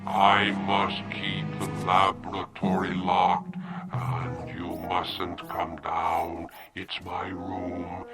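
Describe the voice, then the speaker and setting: An actor playing a childlike, demanding robot servant with a mechanical, deliberate, formal delivery in a vintage television drama. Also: male voice, deep voice. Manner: deliberate, halting, formal diction. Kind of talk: 1960s television drama acting.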